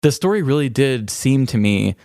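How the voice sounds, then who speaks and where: A man with an American accent talks casually as a podcast co-host. His voice sits at an average pitch for a man, recorded dry with little room sound. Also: animated, moderate pace, a little mellow, no echo. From United States. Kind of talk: casual chat about odd crime stories.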